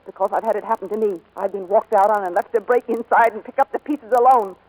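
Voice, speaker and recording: A woman's voice: medium pitch, steady tone, quick pace, darkish tone, lightly acted, poor audio. A woman acting a proud, guarded American aviator in dramatic, emotionally intense 1940s radio style. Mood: wounded, defiant.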